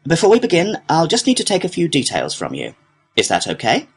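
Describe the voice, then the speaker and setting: A man acting as a courteous male customer-service clerk. His voice is husky and rises and falls a lot as he works helpfully through a scripted procedure. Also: a little high, wildly swooping pitch, a little fast, faint music behind, full character voice. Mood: courteous, businesslike. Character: courteous, helpful complaints clerk at an antiques company. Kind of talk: scripted listening-test dialogue.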